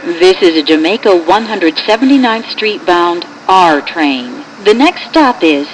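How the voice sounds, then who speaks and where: Female American transit announcer. Unhurried, formal, measured phrase by phrase, lower register, noisy train-car recording. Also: expressive. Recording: clear vehicle and air-conditioning noise.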